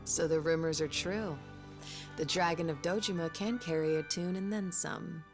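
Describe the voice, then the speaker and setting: A woman's voice: on the low side, steady tone, normal speed, lightly acted. An actress playing a cool, self-assured, direct woman in a video game, with a wry manner. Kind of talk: dramatic video game acting. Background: music.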